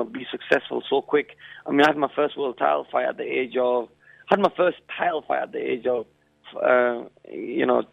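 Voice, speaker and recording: A man in his twenties, a professional boxer, answering interview questions over the phone in a halting way, often saying "you know" and "I mean.". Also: mid-range voice, vocal fry, no room sound. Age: 20s. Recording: tinny dry phone line.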